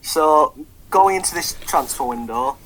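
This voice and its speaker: A man's voice: fairly high pitch, expressive, measured pace, fairly bright tone, a little hiss or hum, low-quality recording. A young man co-hosting a casual football podcast, swearing a lot and rambling with many ums. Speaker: podcast co-host.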